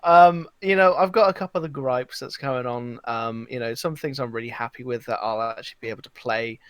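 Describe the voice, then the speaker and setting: Male podcast guest, mid-pitched, informal and meandering, with a dry, room-free sound. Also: a little fast, fairly bright tone, clean tone, no room sound. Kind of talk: casual chat.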